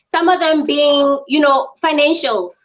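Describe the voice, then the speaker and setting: A woman from South Africa, a spa business owner, answering interview questions in an earnest, measured way with frequent ums. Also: high-pitched. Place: her mother's home. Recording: dull lo-fi audio.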